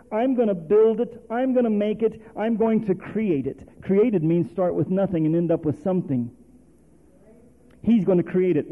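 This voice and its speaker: A male preacher, delivering a sermon full of rhetorical questions and calls for response. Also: high-pitched. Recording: tinny phone-like sermon recording.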